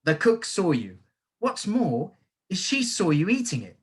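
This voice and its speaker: A storyteller voicing a cruel, bullying headmistress who booms and barks insults at a child. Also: wildly swooping pitch, measured pace, breathy edge, full character voice. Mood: bellowing. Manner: booming, barking insults, mock-sweet when menacing. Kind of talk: audiobook character voice.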